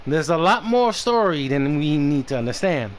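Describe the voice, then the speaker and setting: A man doing casual livestream commentary on a game, halting as he stumbles over names and repeats words. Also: a little high, big pitch swings. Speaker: game streamer. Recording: slight background noise on stream.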